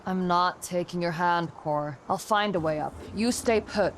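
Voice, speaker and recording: An actress playing a guarded, protective young warrior and bossy older sister in a video game, speaking curtly and with command. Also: female voice, lowish voice, a little slow, a little hiss or hum, lightly acted. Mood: curt, exasperated. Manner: curt, exasperated, commanding. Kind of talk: video game cutscene acting.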